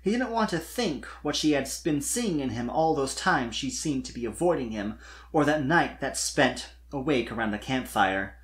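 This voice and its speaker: A narrator reading fan fiction aloud as expressive, scripted storytelling. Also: medium pitch, moderate pace.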